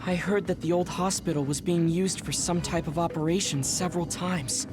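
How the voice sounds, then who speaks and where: A woman playing a composed, knowledgeable young male officer in an anime-style game, explaining things in a measured, formal way. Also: on the low side, steady tone, close to an everyday voice. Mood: careful. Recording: music under the dialogue.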